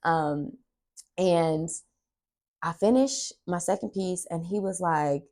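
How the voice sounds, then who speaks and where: A young woman with a low-pitched voice hosts a casual, chatty vlog. She tells her story with dramatic emphasis, acting out bits of dialogue as she goes. Her delivery is animated and conversational. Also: takes it slowly. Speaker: podcast host and aspiring actress.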